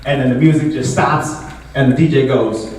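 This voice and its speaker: A man's voice: medium pitch, normal speed, a little mellow. Young man doing stand-up in an echoey room. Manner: laid-back, conversational, frequent filler words. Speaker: stand-up comedian. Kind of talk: stand-up comedy set. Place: comedy show venue with a live audience. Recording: echoey room, distant mic, audible noise.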